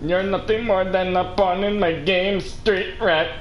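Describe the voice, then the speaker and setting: A man voicing a scheming, gloating sorcerer villain in a game, in a pompous, drawn-out, theatrical manner. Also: high voice, fairly even intonation, lo-fi sound, pitched above the performer's own voice. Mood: haughty, menacing. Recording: roomy echo, faint background noise.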